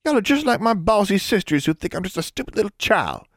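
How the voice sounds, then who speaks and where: A resentful little farm girl from an audiobook, put on by a man, speaks high with a rural Southern US accent. She sounds plaintive and folksy, her pitch swinging widely. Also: big pitch swings, fast, a little mellow, dry recording, clean studio sound, lightly acted. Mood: wounded. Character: tearful young country girl, resentful little sister.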